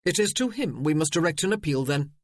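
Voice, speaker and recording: A cold, haughty colonel, as the narrator plays him, commanding and clipped, near the reader's own pitch. Dry, close audiobook sound. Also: expressive, brisk, no echo, lightly acted.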